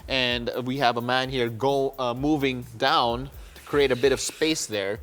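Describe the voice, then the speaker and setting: A man's voice: mid-range voice, steady tone, measured pace, fairly bright tone, faint music behind. A man, hosting a basketball analysis segment in a conversational style in a TV studio. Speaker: sports show host.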